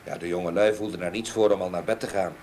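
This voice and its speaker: An actor playing a grieving, haunted older gentleman in a Dutch radio play, speaking in a halting, brooding way. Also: low voice, steady tone, lightly acted. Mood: reminiscing. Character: grieving, haunted older gentleman seeking revenge for murdered niece. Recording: old radio play recording, background noise.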